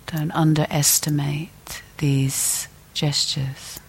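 A woman teacher leading a guided meditation in a gentle, deliberate way, leaving long pauses between phrases. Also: deep voice, little pitch movement, husky.

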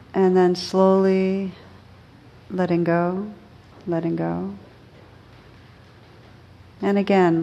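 A woman teaching meditation, guiding listeners gently and unhurriedly, with pauses between short phrases. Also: on the low side, dull audio. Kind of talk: guided meditation.

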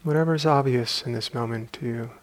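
A man guiding a meditation as a teacher, speaking calmly and unhurriedly in short phrases with long pauses. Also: mid-range voice. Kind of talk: guided meditation.

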